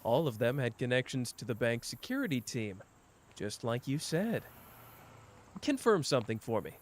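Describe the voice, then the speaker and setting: A man's voice: mid-range voice, normal speed, slight background noise, natural voice. A man playing a teasing young detective. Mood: calm questioning. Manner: playful, teasing, jumps between soft and excited. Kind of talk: ASMR roleplay.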